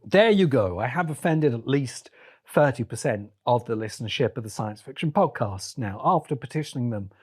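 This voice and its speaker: Male podcast host, mid-pitched voice, opinionated commentary, halting deliberate phrasing, short emphatic bursts. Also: lively intonation, a little mellow, slightly rough. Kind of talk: opinionated solo podcast commentary on books and shows.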